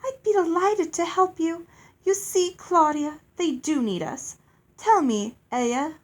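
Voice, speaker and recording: A woman's voice: very high voice, takes it slowly, voice pushed up. A woman voicing a sweet, self-satisfied fairy from a storybook, doting and grandly gracious. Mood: blithely gracious. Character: sweet, beautiful, airy female fairy, blithely self-satisfied.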